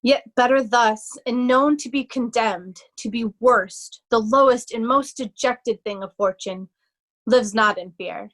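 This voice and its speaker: A wronged young nobleman, reflective and sorrowful, acted by a woman in a Shakespearean monologue. The voice is fairly high and speaks slowly. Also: poor audio. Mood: stoic, reflective. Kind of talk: Shakespearean readers' theatre.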